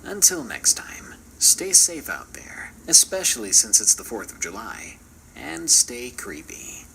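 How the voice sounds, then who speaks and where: A man's voice: mid-range voice, sing-song, unhurried, vocal fry, bright, forceful tone, a little hiss or hum, low-quality recording. A man doing scripted, theatrical narration for a horror channel in a playful, dramatic manner.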